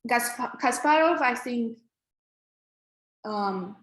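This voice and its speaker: A woman in her thirties from Romania with a Romanian accent, teaching a live online coding class in a halting way, full of fillers and restarts. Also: a little high, animated, reverberant, slight background noise. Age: 30s. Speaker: coding instructor.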